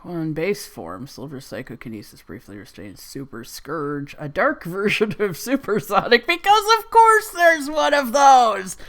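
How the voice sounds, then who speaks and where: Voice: medium pitch, sing-song, unhurried, few pauses, fairly bright tone. A video host giving casual reaction commentary with brief, wry interjections.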